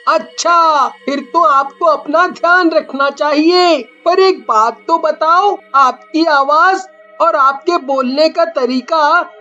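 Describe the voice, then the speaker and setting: Lady doing a curious little boy, high n slow, innocent cartoon kid, bit muffled recording. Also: bright, forceful tone, soft background music, full character voice. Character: curious, eager young village boy. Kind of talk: Hindi cartoon story dialogue.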